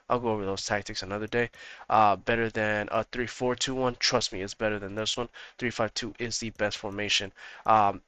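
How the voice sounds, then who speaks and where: Male gaming host, slightly hoarse, casual and repetitive, talks straight to his viewers; bright, crisp timbre with a dry close sound. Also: lowish voice, no room sound. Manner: rambling, repeats himself, talks straight to viewers. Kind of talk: casual tactics walkthrough.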